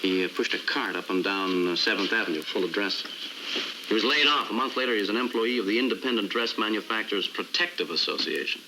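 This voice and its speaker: An actor playing an indignant, driven city prosecutor in an American crime drama, with a wry, wordy, sarcastic manner. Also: male voice, lowish voice, fast talker, background noise, close to an everyday voice. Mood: sardonic.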